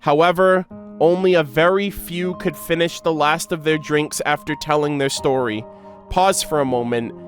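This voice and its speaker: A man's voice: a little high, fairly even intonation, normal speed, faint music behind. A man streaming his reaction to game lore, reading story text aloud and commenting casually in an emotive, slangy way.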